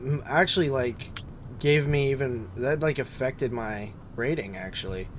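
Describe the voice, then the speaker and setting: Male podcast co-host, medium pitch, informal and conversational film-review chat. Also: moderate pace.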